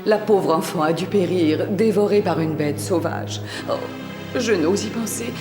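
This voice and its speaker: A regal, scheming middle-aged evil queen acted by a woman in a cartoon fairy tale. Her voice is on the low side, haughty and theatrical, echoing noticeably over clear background music. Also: a little slow, lightly acted. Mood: feigned grief.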